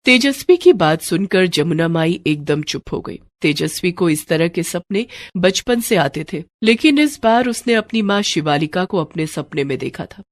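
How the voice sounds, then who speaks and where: A woman's voice: on the low side, dry recording. A woman narrating a scripted story in a dramatic storytelling style. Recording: music bed behind the voice. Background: music.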